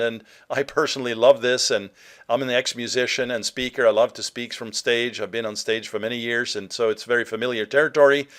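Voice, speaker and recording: A middle-aged man from Norway giving a marketing webinar presentation, earnest and direct, with frequent filler sounds. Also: mid-range voice.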